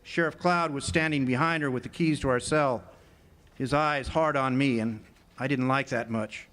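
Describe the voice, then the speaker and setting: A man's voice: mid-range voice, normal speed, fairly bright tone, close to an everyday voice. An actor playing a wry, hard-boiled drifter who narrates his own tale in a live noir radio drama. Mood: wry, musing. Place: live theater stage. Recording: noisy live amphitheater stage recording.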